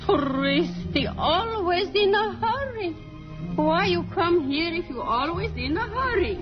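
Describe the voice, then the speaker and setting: Older Italian hostess, high voice, effusive broken English, old radio play, muffled recording with music behind. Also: female voice, expressive, slow pace, heavily acted. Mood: lamenting. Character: chatty, hospitable older Italian woman innkeeper. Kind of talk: 1950s radio drama acting.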